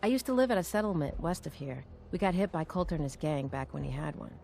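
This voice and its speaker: An actress playing a nervous, deferential doctor among raiders in a video game, speaking hesitantly. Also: female voice, low-pitched, expressive, vocal fry, dark tone. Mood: matter-of-fact. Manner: hesitant, halting. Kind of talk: video game character dialogue.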